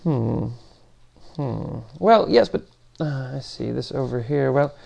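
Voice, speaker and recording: A man's voice: mid-range voice, slow pace, creaky, a little mellow, heavily acted. A man voicing an exasperated, haughty sock-puppet machine intelligence in an audiobook, fussy and incredulous as it questions and grumbles. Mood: grudging.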